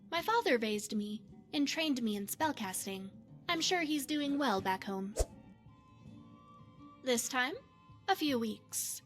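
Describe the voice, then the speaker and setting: Woman playing a high-voiced, poised, secretive spy. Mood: coy, matter-of-fact. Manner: measured, formal, coy, deliberate. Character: poised, secretive female retired spy mage, devout and evasive. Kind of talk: scripted fantasy game character dialogue.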